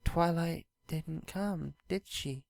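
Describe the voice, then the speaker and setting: A storybook reader voicing a gentle, wistful, shy alicorn princess in a soft, formal manner. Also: medium pitch, slow, gravelly, no room sound, pitched above the performer's own voice. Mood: sad, subdued.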